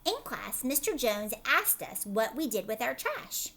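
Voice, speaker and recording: A woman's voice: fairly high pitch, big pitch swings, a little slow, sharp tone, a little hiss or hum. A woman teacher reading a picture book aloud to children, expressively, with chatty commentary and questions along the way. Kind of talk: storybook read-aloud with casual commentary for children.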